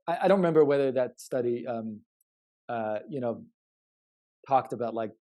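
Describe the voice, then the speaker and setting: A podcast co-host in casual conversation, reasoning aloud in a halting way with hedges and repeated words. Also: mid-range voice, expressive, mellow. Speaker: podcast co-host discussing own research.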